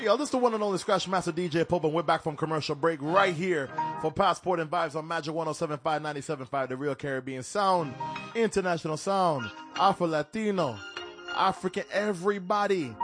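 A man from Barbados and Panama hosting a radio show, energetic and slangy, talking over music. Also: fairly high pitch, brisk.

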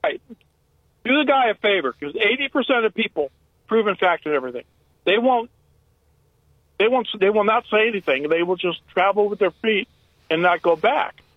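A man's voice: sits high, lively intonation, normal speed, long pauses, dry recording. American male caller on tinny phone line. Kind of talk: casual, rambling advice. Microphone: telephone.

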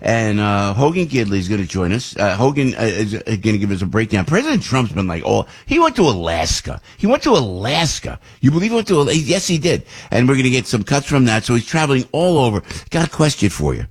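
Husky older man hosting talk radio. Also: mid-range voice, big pitch swings, fast talker, hardly any pauses. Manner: rambling, chatty, with frequent restarts and mock voices. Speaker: radio talk show host. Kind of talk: casual political talk radio monologue.

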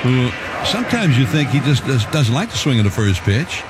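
A male play-by-play announcer calling a baseball game in a conversational, measured way. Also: very noisy. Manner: conversational, measured, calls pitches with rising excitement. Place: stadium broadcast booth.

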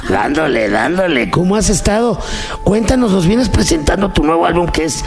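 Mexican male interview host: effusive, lots of repetition, gravelly tone, music playing behind. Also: high-pitched, lively intonation, normal speed. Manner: effusive, repeats phrases, interjects often. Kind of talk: casual, chatty interview.

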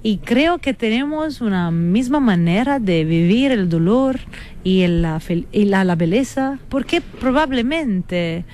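A woman from Italy speaking Spanish with an Italian accent as a guest musician in a radio interview, earnest and reflective, often pausing and restarting as she searches for words. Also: medium pitch, lively intonation, a little mellow. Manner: hesitant, searching for words, with many fillers and restarts.

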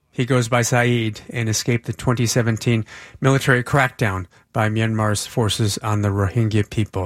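A man works as a radio interviewer. His voice sits at an average male pitch and is recorded very dry, with almost no room sound. He delivers questions and summaries in broadcast news style. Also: no room sound.

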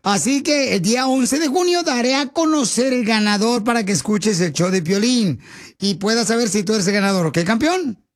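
A man's voice: high voice, lively intonation, normal speed, no room sound. A man hosting a radio call-in prize contest, chatting playfully with slangy nicknames. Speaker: radio show host.

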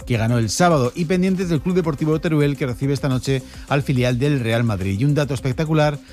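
A man with a Spanish accent from Spain reads the radio news at a brisk clip. His voice sits at an ordinary middle pitch, with faint music under it. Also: darkish tone. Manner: brisk, measured newsreader delivery. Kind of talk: news bulletin presenting.

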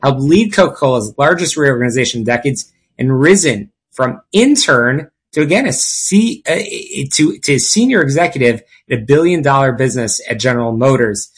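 A man's voice: mid-range voice, animated, normal speed, hardly any pauses, slightly rough. A man hosting a business talk show, introducing a guest and asking questions in an upbeat, polished manner. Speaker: show host. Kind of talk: interview hosting.

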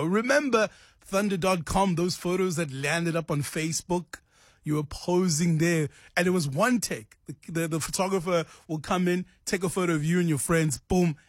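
Chatty morning radio host, 30s, slightly husky voice. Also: male voice, fairly high pitch, no echo, studio quality. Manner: chatty, asks listeners to call in.

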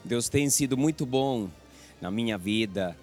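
A man from Venezuela with a Venezuelan Spanish accent, speaking Portuguese as a second language while giving an earnest church testimony in a calm, measured way. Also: mid-range voice, faint music behind.

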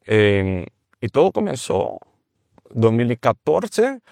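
A man's voice: medium pitch, sing-song, slow pace, gravelly, darkish tone, no room sound. A man from Brazil with a Brazilian Portuguese accent, speaking earnest Spanish as a guest minister in an interview and sometimes repeating words while he searches for them. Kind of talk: conversational interview on faith.

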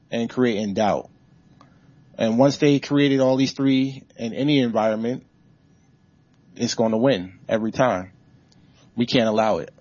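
A male labor organizer answers a question from the audience, building his points like a preacher. The room sound is dry and close, and the recording is muffled and short on top end. His pitch sits in an ordinary middle range. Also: darkish tone, no echo. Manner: emphatic, rallying, preacher-like cadence. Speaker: author and labor organizer, guest speaker.

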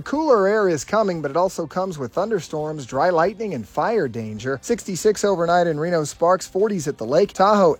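A man's voice: sits high, no room sound. A man with an American accent delivering a scripted weather forecast as a meteorologist.

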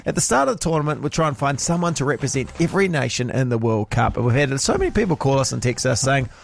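A man's voice: medium pitch, very animated, fast talker, gravelly. A man with a New Zealand accent co-hosting breakfast radio, upbeat and joking. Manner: upbeat, joking, laughs often. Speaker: radio host. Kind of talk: casual breakfast radio banter.

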